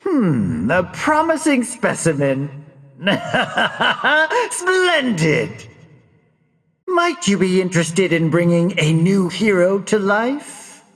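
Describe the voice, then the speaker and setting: An actor playing a pompous middle-aged mad scientist in a video game, sinister and gloating, drawling his lines with relish in a raspy voice. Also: sits high, sing-song, slow pace, no room sound, clean studio sound, exaggerated voice. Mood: gloating delight. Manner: pompous, drawling, relishing menace with sly chuckles. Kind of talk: theatrical video game villain acting.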